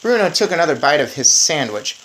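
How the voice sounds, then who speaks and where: A woman's voice: sits low, sing-song, a little fast, fairly bright tone, a little hiss or hum, poor audio. A woman reading a children's book aloud expressively, with informal asides to listeners. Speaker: read-aloud storyteller. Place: indoors in an attic.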